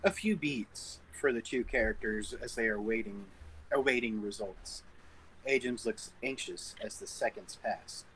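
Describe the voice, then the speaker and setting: A man's voice: lowish voice, lively intonation, unhurried, a bit bright, slightly rough, poor audio. A man reading stage directions as the narrator of a scripted table read.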